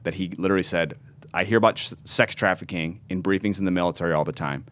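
A man's voice: low-pitched, fast talker, a bit bright. A man in his thirties, a pastor, telling his story earnestly in an interview and often restarting his words. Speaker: pastor and ministry founder.

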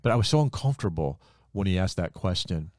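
Very deep-voiced earnest man. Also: fast, no room sound, studio quality. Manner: earnest storyteller, lively when excited.

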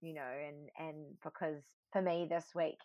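A woman's voice: low voice, level tone, moderate pace, no room sound. Woman host, playful and encouraging, dry and somewhat muffled sound. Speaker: podcast host. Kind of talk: casual podcast chat.

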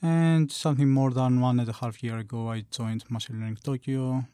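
A man from Greece with a warm, smooth voice introduces himself carefully as a podcast guest. The recording is close and dry. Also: medium pitch, fairly even intonation, moderate pace, no echo.

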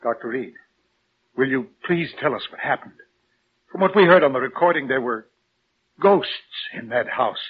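A dull, slightly noisy vintage radio recording of an actor as an earnest, insistent gentleman. His mid-pitched male voice presses his questions with urgency, in classic radio drama style. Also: animated, moderate pace, pauses often, lightly acted. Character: concerned, insistent gentleman questioning an injured colleague.

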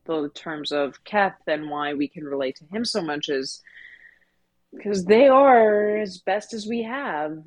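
Female co-host, slightly low register, casual fan-podcast discussion, opinionated with animated emphasis.

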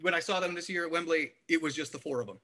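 Very sharp, husky-edged man chatting. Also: on the high side, quick pace. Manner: chatty, anecdotal, interjecting. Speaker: podcast panelist. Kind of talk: casual music album discussion.

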